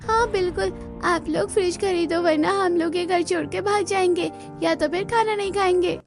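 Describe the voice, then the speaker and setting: A woman's voice: extremely high pitch, fairly even intonation, normal speed, over music. A woman voicing a stubborn, demanding schoolchild in a scripted cartoon, whining and insistent. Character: demanding, stubborn school-age child in a poor family.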